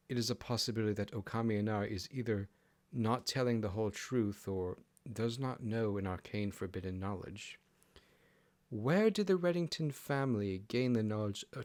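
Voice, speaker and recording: A proud, imperious kitsune queen, read by a man with a fairly low voice. She speaks formally and haughtily, a huffy, greedy royal from a fantasy audiobook. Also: lightly acted. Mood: brooding, questioning.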